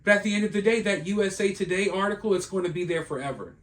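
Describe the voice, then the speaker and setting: A tired, hoarse man giving an indignant, emphatic commentary in a solo video. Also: high voice, quick pace. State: hoarse, losing his voice.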